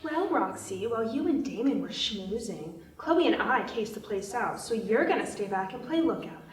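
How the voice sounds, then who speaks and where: High-voiced, hot-tempered woman thief acted out by a woman. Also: animated, moderate pace, close to an everyday voice. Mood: bitter, mocking. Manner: sarcastic, swearing. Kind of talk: table read of a screenplay. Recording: roomy, distant mic, background noise.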